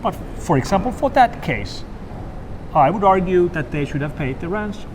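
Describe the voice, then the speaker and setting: Guest, finnish man with an accent, slightly high, animated, loud traffic behind. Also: normal speed. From Finland. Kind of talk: conversational interview.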